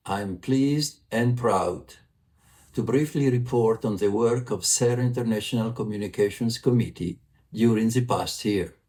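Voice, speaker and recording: A man's voice: medium pitch, darkish tone. A man from Italy with an Italian accent, giving a formal prerecorded report as a vice president for communications. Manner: formal, measured delivery.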